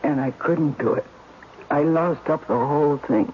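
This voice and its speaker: A woman's voice: deep voice, normal speed, lightly acted. A woman playing a despairing, self-mocking actress and wife in a 1970s radio drama, blunt and bitterly wry. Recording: dull, lo-fi old radio recording with faint hiss.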